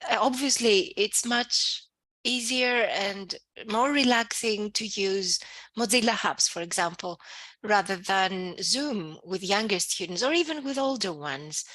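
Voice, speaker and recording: A woman's voice: medium pitch, a bit bright, dry recording. A woman hosting an online learning session on a video call, listening closely and often murmuring agreement. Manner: attentive, frequent backchannel agreement. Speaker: session host and presenter. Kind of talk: online group discussion.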